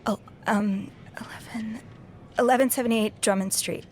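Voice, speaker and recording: An actress voicing a young hotel maid in a video game, playful but easily shaken, in a naturalistic style. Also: female voice, on the high side, steady tone, moderate pace, a little mellow, a little hiss or hum, lightly acted. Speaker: hotel worker character.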